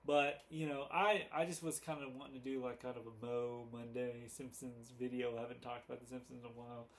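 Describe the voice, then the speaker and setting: A man hosting a casual, unscripted fan commentary video, halting and rambling as he goes, often correcting himself. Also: mid-range voice, level tone, vocal fry. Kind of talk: casual unscripted chat to camera. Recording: noticeable background noise.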